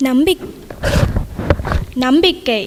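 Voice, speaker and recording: Lively high-voiced woman declaiming poetry. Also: extremely slow. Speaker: poet reciting at a book launch. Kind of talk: rousing rhythmic poetry recitation. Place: hall at a live poetry reading. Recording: echoing hall reverb.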